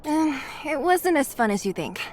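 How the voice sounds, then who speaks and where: A woman voicing a spirited, earnest young fighter in an anime-style game, expressive and confident. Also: high voice, moderate pace, a little hiss or hum. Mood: weary. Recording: faint music behind.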